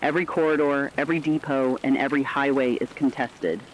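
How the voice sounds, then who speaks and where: A man playing a serious radio war correspondent in a formal, measured scripted news broadcast. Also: medium pitch, steady tone, slight background noise, lightly acted, rough recording.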